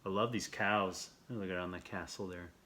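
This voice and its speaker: A man reading a children's picture book aloud as host, playful and theatrical, with expressive asides and questions. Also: low-pitched, moderate pace, slight background noise. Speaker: storyteller, host of a read-aloud show.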